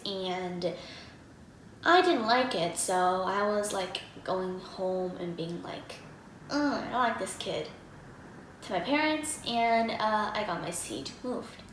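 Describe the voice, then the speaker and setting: A teenage girl talks through a reaction video in casual, filler-heavy chat. Her voice sits a little high and bounces around a roomy space with a faint hum behind it. She speaks into a desk mic at home. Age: teens. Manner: chatty, frequent fillers like "like" and "um". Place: home room, indoors. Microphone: desk mic in front of her.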